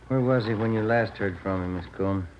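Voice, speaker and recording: A man's voice: on the low side, quick pace, a little hiss or hum, close to an everyday voice. Man acting a courteous detective, muffled vintage radio. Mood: polite, probing. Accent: American. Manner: even, courteous, matter-of-fact. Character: polite, businesslike male police detective partner. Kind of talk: 1950s radio drama acting.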